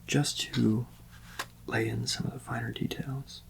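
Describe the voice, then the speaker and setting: Soft-spoken man with heavy vocal fry. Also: medium pitch, little pitch movement, slight background noise, poor audio. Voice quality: soft, breathy-edged voice dropping into whispers and creaky fry. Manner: gentle, unhurried, reassuring, politely attentive. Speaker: ASMR roleplay performer as a portrait artist. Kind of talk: soft-spoken ASMR personal-attention roleplay.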